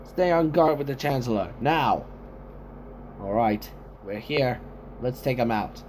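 A man voicing an excitable soldier commander in amateur sci-fi video-game voice lines, giving urgent, halting battle callouts. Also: mid-range voice, a little hiss or hum, natural voice. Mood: urgent, commanding.

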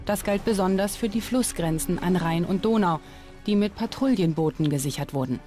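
A woman's voice: on the low side, moderate pace. A woman reading scripted documentary narration. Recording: music under the voice. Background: background music.

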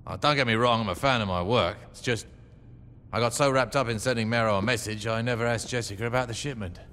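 A man's voice: medium pitch, fast talker, fairly bright tone, lightly acted. A man voicing a cold, menacing gang leader with dry, threatening sarcasm.

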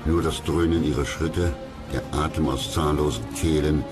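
A man narrates a documentary in an extremely deep voice. His delivery is scripted storytelling, and loud music plays underneath him throughout. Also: fairly even intonation, normal speed. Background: loud background music.

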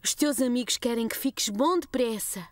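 A woman playing a caring mother of small children in a cartoon, gentle and coaxing, with a European Portuguese accent. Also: a little high, moderate pace, heavy background noise, close to an everyday voice. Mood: reassuring.

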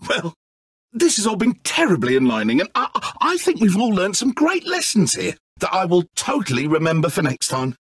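Wildly theatrical British villain. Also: male voice, high-pitched, wildly swooping pitch, moderate pace, fairly bright tone, breathy edge, dry recording, clean studio sound, full character voice. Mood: insincere, smug. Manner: theatrical, sarcastic, exclaiming and stammering. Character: petulant, theatrical, godlike male villain, needy and whiny. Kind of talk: video game character acting.

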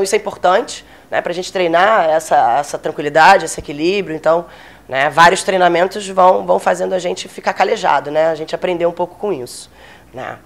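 A woman in her thirties from Brazil, a military officer giving a conversational lecture into a handheld microphone in a small room, with frequent fillers. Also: lowish voice. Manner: conversational, many fillers, gestures while speaking. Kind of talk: informal lecture. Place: small meeting room, indoors.

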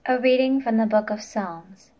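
A woman's voice: on the high side, moderate pace, a little mellow. A woman in her twenties reading scripture aloud as a lay reader, carefully and plainly.

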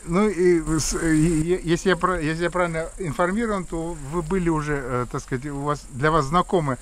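Man asking halting interview questions. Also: a little high, slight background noise. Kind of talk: casual interview.

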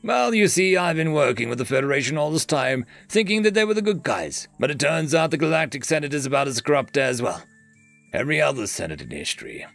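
A man's voice: on the high side, sing-song, quick pace, vocal fry, a bit bright, faint music behind, pitched above the performer's own voice, exaggerated voice. A man doing a smug bounty hunter. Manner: smug, joking, casual. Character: cocky male bounty hunter.

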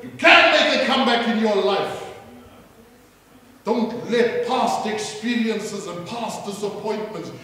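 South african preacher guy, high bright voice, swings up loud calling on the crowd, echoey hall, mic far off. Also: slow pace. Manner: rising to loud preaching calls, crowd prompts. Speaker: pastor. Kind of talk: sermon. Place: church stage.

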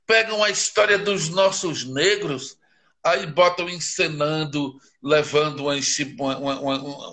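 A man's voice: a little high, sharp tone. A man from Northeastern Brazil with a Northeastern Brazilian accent, a guest writer speaking with passion and emphasis in a live interview, asking rhetorical questions. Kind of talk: live interview over a video stream.

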